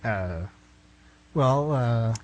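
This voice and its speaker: A man's voice: mid-range voice, lively intonation, drawn-out words, darkish tone, highs cut off. A man playing a flustered, exasperated writer who stammers and protests in a comic radio play. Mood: hesitant, confused. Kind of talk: radio play comedy acting.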